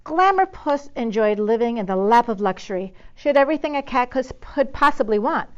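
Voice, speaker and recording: Expressive woman reading a storybook. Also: a little high, fairly quick, clear, resonant tone, muffled recording. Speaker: storybook reader. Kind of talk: expressive children's story narration.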